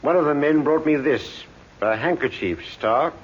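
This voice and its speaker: A man's voice: fairly high pitch, moderate pace, natural voice. A man playing a determined Scotland Yard detective in an old radio drama, clipped and businesslike. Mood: brisk, grim. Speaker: police inspector. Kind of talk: old time radio acting. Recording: muffled old radio recording, faint noise.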